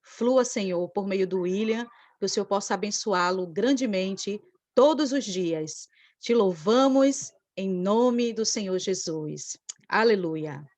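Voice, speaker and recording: A woman's voice: mid-range voice, slow, a little mellow, no room sound. A Brazilian woman leads a spoken prayer in a mid-range voice, addressing God directly in devotional phrases. The sound is dry, with very little room around it. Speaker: church group member leading prayer.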